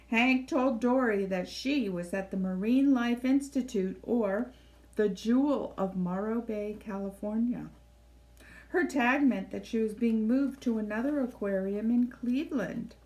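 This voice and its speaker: A woman reading a children's story aloud in an expressive, measured storytelling style, with deliberate phrasing. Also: fairly high pitch, poor audio. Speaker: library storybook reader. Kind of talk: expressive scripted read-aloud narration for children.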